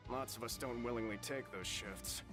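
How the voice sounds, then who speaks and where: A man acts as a male prison guard in a video game. His voice sits at an average pitch, and he explains things in a measured, practical, slightly stern way. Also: over music, natural voice. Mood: hesitant, confiding. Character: matter-of-fact prison guard, mildly stern, male adult.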